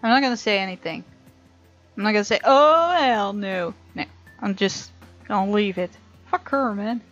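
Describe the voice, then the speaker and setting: A woman reads visual novel narration aloud as a gamer would, informal and expressive. Her mid-pitched voice comes through a slightly dull mic, with game music playing behind it. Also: normal speed. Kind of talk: reading game narration aloud with casual commentary.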